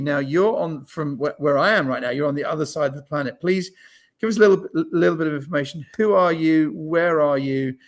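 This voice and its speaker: Upbeat man hosting an interview. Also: on the high side. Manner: brisk and upbeat, stumbling restarts. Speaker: host.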